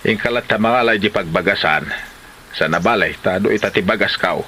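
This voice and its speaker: A man's voice: low-pitched, fairly quick, bright, forceful tone, a little hiss or hum. A man acting a grumbling but generous father in an Ilocano comedy drama. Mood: exasperated.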